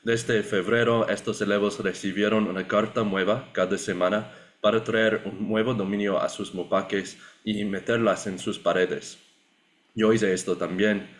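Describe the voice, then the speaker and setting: A young man with a notably low voice gives a prepared talk. He reads carefully, pausing to fix his words now and then. His delivery is that of a learner who is speaking a language that is not his first. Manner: careful learner's delivery, occasional self-corrections. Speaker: graduate student and project participant. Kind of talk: prepared presentation read aloud.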